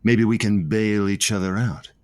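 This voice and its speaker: A man's voice: fairly low pitch, steady tone, moderate pace, darkish tone, clean tone, close to an everyday voice. A man playing a bitter, slighted twin posing as his brother, wry and conniving, in a comedy audio drama. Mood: wry scheming.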